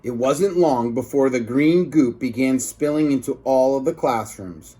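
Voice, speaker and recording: Slow-paced man reading a children's book. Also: mid-range voice, husky. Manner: expressive, emphasizing words for children. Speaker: teacher reading aloud to students. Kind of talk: children's book read-aloud.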